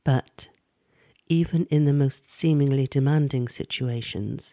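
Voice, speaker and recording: A man gently narrating a guided meditation in a calm, measured way. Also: mid-range voice, dry recording. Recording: dry, tinny phone-like sound.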